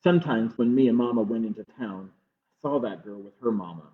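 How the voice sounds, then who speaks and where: A man reading a picture book aloud to children with measured, expressive pauses. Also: medium pitch. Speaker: library storytime reader. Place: livestream from a closed-library online program. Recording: muffled phone-like streaming audio.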